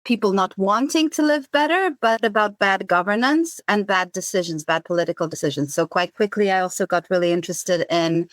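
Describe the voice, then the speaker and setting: Woman in her 40s on a podcast, thinks out loud, dry close mic, mid pitch. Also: brisk, hardly any pauses, dry recording. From Zambia. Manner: thoughtful, many fillers and restarts. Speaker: podcast guest, campaign coordinator. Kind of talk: conversational interview answers.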